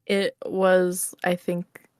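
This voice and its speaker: A woman's voice: on the low side, monotone, very slow, smooth, dry recording. A woman answering interview questions as a guest in an informal, hedging, self-effacing way. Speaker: podcast guest, ASMR roleplay creator.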